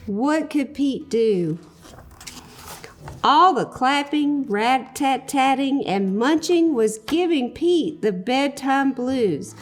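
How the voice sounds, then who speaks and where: A woman reads aloud to children, expressive and encouraging, speaking directly to young listeners. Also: high voice. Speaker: children's book reader, show host. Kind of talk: animated read-aloud storytelling for children.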